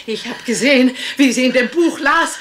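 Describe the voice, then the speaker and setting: A woman's voice: high voice, moderate pace, a bit bright. An actress playing a fanatically pious, vengeful servant woman in a radio crime drama, speaking in solemn biblical preaching. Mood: righteous, gloating.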